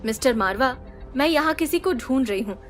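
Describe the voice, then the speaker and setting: A self-assured young woman, composed and guarded, with a fairly high voice. Light music runs beneath the drama. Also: expressive, fairly quick. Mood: confident, guarded. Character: confident, guarded young woman healer with secret purpose. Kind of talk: Hindi audio drama acting.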